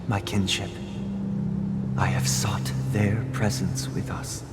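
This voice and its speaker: A man voicing a calm, ceremonious priestly confessor in a game, with a measured, formal manner. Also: on the low side. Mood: solemn, calm. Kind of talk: dramatic game voice acting. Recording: long hall reverb, loud music.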